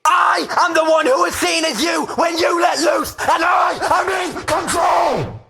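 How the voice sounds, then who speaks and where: A man voicing a malevolent shadow-self demon, taunting and grandiose in theatrical rhythmic verse with a gravelly edge. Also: fairly high pitch, animated, brisk, bright, forceful tone, faint music behind, a faint crowd, heavily acted. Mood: roaring, domineering.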